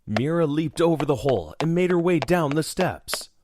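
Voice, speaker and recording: A man's voice: on the high side, expressive, normal speed, noisy background. A man narrating a horror audio drama from a script. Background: music.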